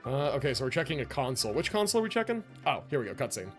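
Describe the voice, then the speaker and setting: A man's voice: medium pitch, sing-song, very fast, faint music behind. A man casually commentating a live game stream, chatting with viewers in rambling, informal gamer slang.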